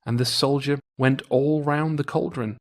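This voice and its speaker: A man reading a scripted folk tale as a storyteller, measured and old-fashioned. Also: mid-range voice, fairly even intonation. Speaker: storyteller, podcast host. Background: music.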